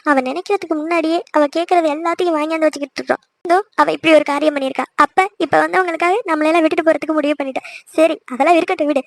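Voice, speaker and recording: Fretful scolding mother for family drama female actor: middle-aged, emphatic, gravelly edge, close dry sound. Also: high-pitched, steady tone, racing, no echo. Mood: distressed, scolding. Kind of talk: melodramatic family audio drama.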